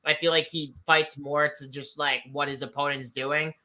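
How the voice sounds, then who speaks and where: A man's voice: on the high side, flat delivery, moderate pace, sharp tone. A man giving casual fight picks, blunt and rambling, leaning on "I feel like". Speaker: betting analyst guest.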